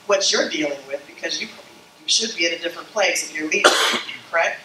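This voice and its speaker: A woman's voice: lowish voice, a bit bright, reverberant, recorded from a distance. A woman with a slightly scratchy voice from a summer flu, giving a workshop talk to a small group and often checking in with "okay?" and "you know?". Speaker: branding consultant speaking to church leaders. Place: meeting room with a small group.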